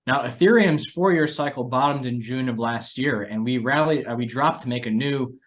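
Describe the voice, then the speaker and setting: A man's voice: medium pitch, normal speed. A man hosting a conversational discussion about financial markets, speaking as an analyst. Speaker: podcast host and market analyst.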